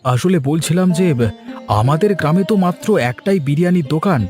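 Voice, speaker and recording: A man's voice: fairly high pitch, expressive, fairly quick, a little mellow, soft background music, lightly acted. A man voicing a cheerful, eager young village food seller, chatty and deferential, in a cartoon story. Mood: eager, polite. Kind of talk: Bengali cartoon story voice acting.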